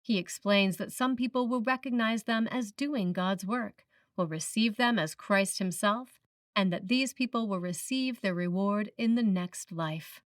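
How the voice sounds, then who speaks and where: A woman preacher reading a written sermon in a measured, reflective manner, putting questions to the listener. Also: medium pitch, dry recording, studio quality, distant mic. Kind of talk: sermon, read from a script.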